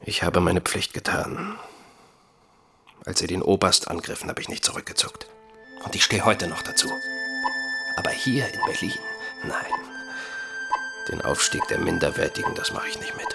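An actor playing a bitter young army lieutenant in a radio drama, intense and clipped, his rough voice often sinking toward a whisper. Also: low-pitched, huge pitch swings, moderate pace, pauses often, heavily acted. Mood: bitter, defiant. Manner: clipped, intense military speech. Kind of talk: German radio drama acting. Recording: music behind the voice.

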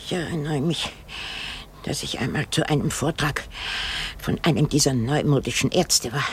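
An actress playing an elderly, haunted woman in a radio drama, rambling and brooding with halting, repetitive speech. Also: low-pitched, slight background noise, natural voice. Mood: musing, halting. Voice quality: husky, breathy, creaky.

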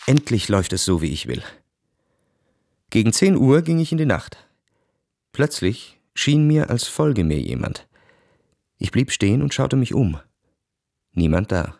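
World-weary young officer, cynical diary narration, cool and measured, radio drama, dry studio sound. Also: male voice, medium pitch, sing-song, creaky, a little mellow, no echo. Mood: tense, detached.

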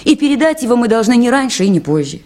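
A woman's voice: on the high side, very animated, fast talker, darkish tone. An actress playing a composed, dutiful young woman river-station worker in a radio play. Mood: earnest, resolute. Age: young adult. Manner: composed, earnest. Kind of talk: 1980s Soviet radio play acting.

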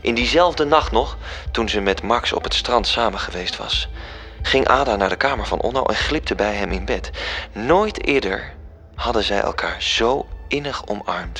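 A man playing a composed, authoritative heavenly spirit who explains a divine plan in measured, formal words in a radio drama. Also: fairly low pitch, bright, forceful tone. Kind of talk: radio drama narration and dialogue. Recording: music behind the voice.

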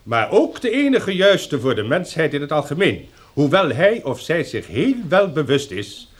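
A man's voice: on the high side, very animated, normal speed. A man acting in a radio drama as an elderly sociology professor, earnest and long-winded, lecturing in a pedantic, oratorical way. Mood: pedantic, ponderous.

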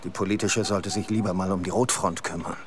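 An actor playing a gruff, impatient paramilitary commander in a radio play, curt and pompous. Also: male voice, deep voice, fairly even intonation, brisk, noisy background, lightly acted. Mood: curt, suspicious.

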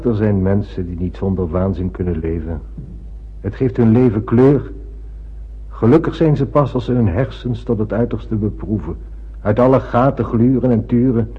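Husky, wry older ship's officer. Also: male voice, on the low side. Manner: sardonic, measured, philosophical. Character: seasoned, wry, philosophical male spaceship officer. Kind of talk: radio drama acting. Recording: muffled old radio play.

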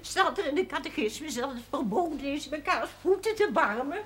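Lively cheeky old villager. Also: female voice, sits high, fairly quick. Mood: cheeky, protesting. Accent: Dutch. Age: 70s. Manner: homely, plain-spoken, cheeky. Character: spirited, devout-but-cheeky old village woman. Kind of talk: television drama acting. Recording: faint noise, television soundtrack.

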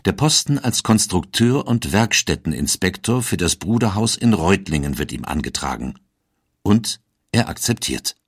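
Man reading an audiobook, very deep voice, dry close sound, steady formal tone. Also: moderate pace, breathy edge, dry recording. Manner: steady, formal storytelling delivery. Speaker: narrator. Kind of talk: audiobook narration.

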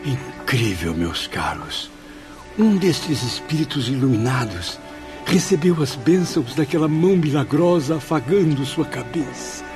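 A man's voice: mid-range voice, sing-song, measured pace. An actor playing an earnest penitent spirit telling his story in a radio drama, reverent and emotional. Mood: awed. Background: background music.

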